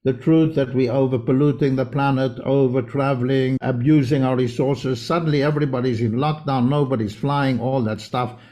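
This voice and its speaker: A man's voice: mid-range voice, steady tone, moderate pace, hardly any pauses, warm tone. An older man from South Africa, a homeopath guest in a podcast interview, explaining at length with emphatic, lecturing delivery. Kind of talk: conversational interview.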